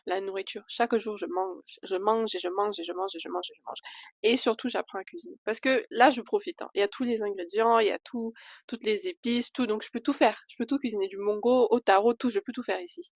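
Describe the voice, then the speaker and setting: A woman's voice: medium pitch, a little fast, no echo. A young woman from Switzerland chatting candidly to camera in a casual vlog, with hesitant fillers between thoughts. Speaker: vlogger.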